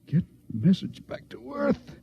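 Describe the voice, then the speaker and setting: Dying ship's doctor: gasping, extremely slow, gravelly, vintage radio play. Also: male voice, sits high, huge pitch swings, warm tone, heavily acted. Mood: dying, labored. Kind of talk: 1950s radio drama acting. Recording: dull, noisy vintage radio recording.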